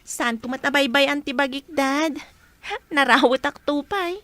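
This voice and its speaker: Woman as a very high, sweet college daughter. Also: measured pace, a bit bright, dry recording. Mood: sweet, affectionate. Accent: Filipino. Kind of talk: Filipino radio drama acting.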